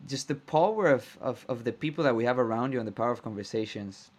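A man's voice: medium pitch, quick pace. Guy hosting a chatty podcast, hyped up, keeps repeating what his guest says. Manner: enthusiastic, repeats guest's words back. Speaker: podcast host. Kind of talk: casual interview chat.

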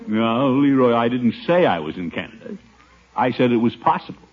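A flustered middle-aged American uncle in an old radio sitcom. His pitch swoops wildly as he blusters, stammers and chuckles nervously, all through a thin, tinny vintage recording. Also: sing-song, moderate pace, husky. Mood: indignant. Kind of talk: 1950s radio situation comedy acting.

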